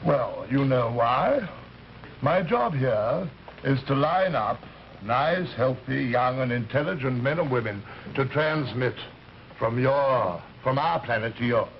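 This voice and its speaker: Genteel, creaky-voiced alien gentleman. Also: mid-range voice, animated, slow pace. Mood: patient explaining. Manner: polite, pompous, hesitant, rambling with false starts. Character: urbane, genteel alien recruiter posing as an Earthman. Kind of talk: old-time radio drama acting. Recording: muffled, hissy old radio recording.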